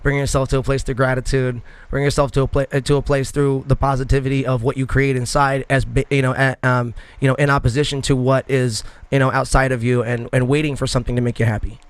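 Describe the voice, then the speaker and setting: A man's voice: mid-range voice, level tone, fast talker, hardly any pauses, clear, resonant tone, no room sound. Upbeat man hosting a podcast. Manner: rambling, many fillers and restarts. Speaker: podcast host. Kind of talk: casual interview chat.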